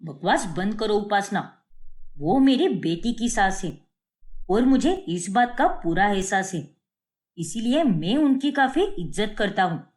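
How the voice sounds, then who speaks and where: A woman acts out an older husband in a cartoon family story. He is stern and fed up, scolding in a mid-pitched, animated voice. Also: slow. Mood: stern, angry. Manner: scolding, forceful wording.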